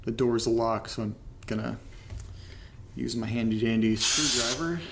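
A man playing an uneasy amateur investigator in a found-footage audio drama, muttering haltingly and casually in a gravelly voice. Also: mid-range voice, a bit bright, slight background noise. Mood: wary, muttering. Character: uneasy amateur investigator recording a creepy cellar discovery.

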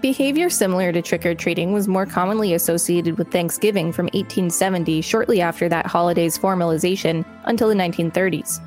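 A woman's voice: fairly low pitch, fast talker, few pauses, a little mellow. Woman podcast host reading aloud over music. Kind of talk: reading an encyclopedia article aloud with commentary.

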